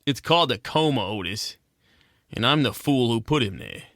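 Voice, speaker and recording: A man's voice: mid-range voice, animated, normal speed, a bit bright, dry recording, clean studio sound. A man playing a cynical American penguin private eye, narrating in slangy, world-weary 1940s noir style. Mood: guilty, bitter. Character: hard-boiled penguin detective, cynical and guilt-ridden. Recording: music behind the voice.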